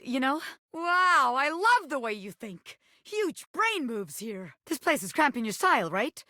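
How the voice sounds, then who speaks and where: A woman voicing a brash video game pin, a wannabe gangster boss with a soft heart, talking in cocky, slangy tough talk. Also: high voice, big pitch swings, a little slow, no room sound, clean studio sound, exaggerated voice. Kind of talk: animated video game character voice acting.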